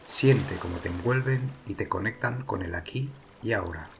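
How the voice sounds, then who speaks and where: A man narrating a guided meditation as its guide, speaking calmly and soothingly in slow phrases with pauses. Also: lowish voice, gravelly, noisy background. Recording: ocean waves behind the voice. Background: sea waves, water ambience.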